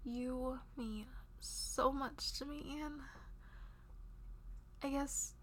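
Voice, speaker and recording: A woman's voice: high voice, fairly even intonation, very slow, a bit bright, a little hiss or hum, full character voice. A woman playing a devoted, tender lover in an intimate audio roleplay, speaking breathily and reassuringly and often dropping toward a whisper. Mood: tender, confessional. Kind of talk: intimate one-sided romantic audio roleplay.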